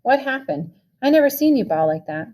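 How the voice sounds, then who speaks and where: A woman reading a soft-spoken teenage boy. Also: high-pitched, big pitch swings, brisk, voice pushed up. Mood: gentle. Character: gentle quiet teenage boy friend.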